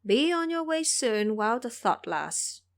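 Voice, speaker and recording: Woman doing a calm stern goddess, formal, kinda commanding, audio drama. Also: fairly high pitch, unhurried. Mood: admonishing. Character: stern, serene female bodhisattva, authoritative deity. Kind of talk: audio drama character acting.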